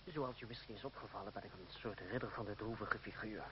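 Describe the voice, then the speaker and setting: An actor playing a witty, educated, self-assured wandering gentleman in a radio play, speaking eloquently with dry irony and courtesy. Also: on the low side, fast, close to an everyday voice. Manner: eloquent, dryly ironic, courteous. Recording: dull, hissy old radio recording.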